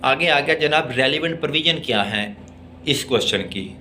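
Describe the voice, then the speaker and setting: A man with a Pakistani accent lecturing on law, deliberately reading out text and explaining it in a teacherly way. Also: a little high, bright, forceful tone, a little hiss or hum. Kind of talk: exam-oriented law lesson, reading English text and explaining it.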